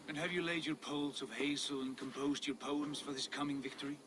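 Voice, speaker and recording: An actor playing a proud, devout Norse warrior, ceremonious and mocking, in a dramatic video game. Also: male voice, on the high side, fairly even intonation, a little fast, background noise, lightly acted. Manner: grandiose, ceremonious.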